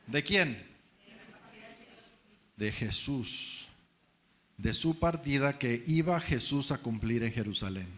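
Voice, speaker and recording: A man's voice: sits high, bright, forceful tone. A middle-aged man with a Mexican Spanish accent preaching a sermon, chatty and joking, asking the congregation questions. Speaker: guest preacher.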